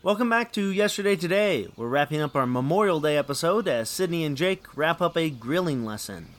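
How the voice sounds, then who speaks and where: A man's voice: a little high, animated, fairly quick. A man hosting a radio show with scripted links and comic skits, in a dry, sceptical manner.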